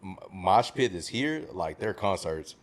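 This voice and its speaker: A man's voice: low voice, lively intonation, a little slow. An American man from the South hosting a casual music discussion, talking emphatically and repeating phrases for stress. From southern United States. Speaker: podcast host.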